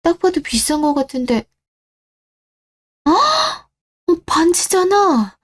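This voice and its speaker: A woman playing an affectionate, easily flustered young girlfriend in a radio drama, speaking softly and expressively. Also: very high voice, huge pitch swings, slow pace, pitched above the performer's own voice, heavily acted. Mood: surprised. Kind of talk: Korean radio drama dialogue.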